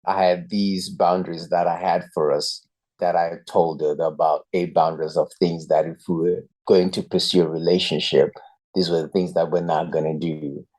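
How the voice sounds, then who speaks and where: Guy in his 30s, really low voice, earnest and measured, chatting as a podcast guest. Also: little pitch movement, a little mellow. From Zimbabwe. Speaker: guest, youth pastor. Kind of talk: podcast interview, personal story.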